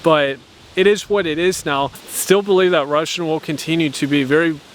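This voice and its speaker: A man's voice: on the high side, steady tone, moderate pace, a little hiss or hum. A man giving his opinion in an outdoor vlog, talking earnestly and reasonably, often restarting and repeating words as he thinks. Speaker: vlogger. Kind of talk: opinion monologue to camera.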